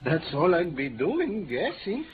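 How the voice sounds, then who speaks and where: Through a tinny, hissy old radio recording comes a talkative counterman in a vintage crime drama. He is folksy and evasive, with a very animated pitch that keeps bobbing around. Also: a little slow. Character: chatty, evasive male taco-counter man. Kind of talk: 1950s radio drama acting.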